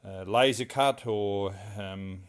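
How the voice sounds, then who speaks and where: A man's voice: fairly low pitch, slow. A man, casually walking through his home garage workshop, rambling and asking viewers for advice. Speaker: car restoration vlogger.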